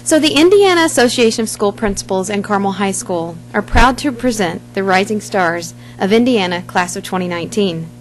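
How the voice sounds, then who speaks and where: A middle-aged woman, a high school staff member, reading a formal award announcement in a measured way into a podium microphone in a large boardroom. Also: expressive, slight background noise, low-quality recording.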